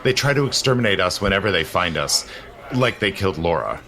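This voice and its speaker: A grave, secretive protector in a radio play. His voice is low and forceful, and he talks earnestly and briskly. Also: lively intonation, full character voice. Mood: grave explaining. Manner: earnest, brisk, matter-of-fact. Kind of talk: audio drama acting.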